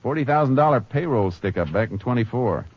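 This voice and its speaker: An American man playing a steady, terse Texas Ranger who narrates his own case in a 1950s radio drama, speaking in a clipped, matter-of-fact way. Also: mid-range voice, fairly quick, natural voice. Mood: businesslike. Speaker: lead actor and narrator. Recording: muffled old radio broadcast with music.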